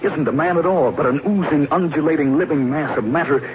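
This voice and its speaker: A man's voice: fairly high pitch, brisk, natural voice. An actor playing a grim, reasoning man who narrates an old radio thriller with grave, dramatic delivery. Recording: noisy, muffled old radio recording.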